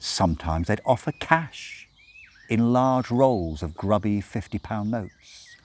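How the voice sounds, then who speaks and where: A man's voice: lowish voice, lively intonation, slow, a little mellow. A man reading a story aloud as scripted narration, with wry, dramatic emphasis. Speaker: audiobook reader.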